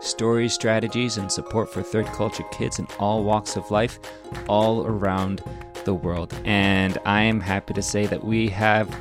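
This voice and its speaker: A man's voice: lowish voice, flat delivery, a little slow, music in the background. A man hosting a podcast interview online, chatty and playful. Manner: chatty and playful, with self-deprecating asides. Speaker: podcast host. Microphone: remote online recording.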